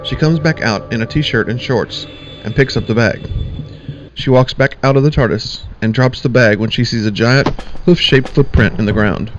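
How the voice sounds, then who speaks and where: A man giving plain, steady scripted narration for an audio drama. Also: medium pitch, normal speed, highs cut off. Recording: music bed behind.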